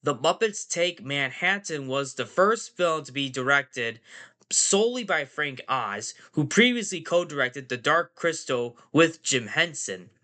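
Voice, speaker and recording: Stuffy-nosed male podcast host with a cold, slightly high voice, bright and forceful, loose and rambling with lots of fillers. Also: animated, unhurried. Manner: rambling, repeats phrases and fillers. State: nasal from a cold. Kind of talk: casual movie review podcast.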